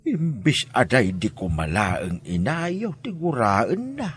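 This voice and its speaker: A man playing a gentle, protective old grandfather in an Ilocano radio drama, speaking tenderly and emotively. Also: medium pitch, very animated, takes it slowly, slightly rough, exaggerated voice. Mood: consoling, sorrowful.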